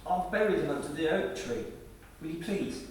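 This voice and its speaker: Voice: medium pitch, moderate pace, lightly acted. An actor playing an earnest, anxious young boy in a radio play. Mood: anxious, pleading. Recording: echoey room, far from mic.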